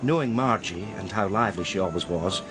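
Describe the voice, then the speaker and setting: An actor playing a discreet male art dealer in a radio crime drama, speaking in a deliberate, hesitant, euphemistic way. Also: low-pitched, music in the background, natural voice. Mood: guarded, hesitant. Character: male art dealer George, heartbroken, guarded, discreet old friend.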